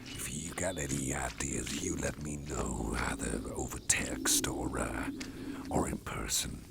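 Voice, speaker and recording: A man with a very deep, gravelly voice whispers a gentle ASMR roleplay, playing a caring boss who carries the listener home. He speaks slowly and soothingly, mostly in a breathy hush. Loud outdoor nature sounds with birds fill the background. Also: fairly even intonation, very noisy. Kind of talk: soft-spoken ASMR roleplay. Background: rural outdoor sounds with birds.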